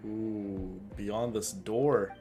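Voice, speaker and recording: A man's voice: fairly low pitch, steady tone, drawn-out words, mellow, soft background music. A man giving casual commentary as he plays a game, repeating phrases for emphasis. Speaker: gaming streamer.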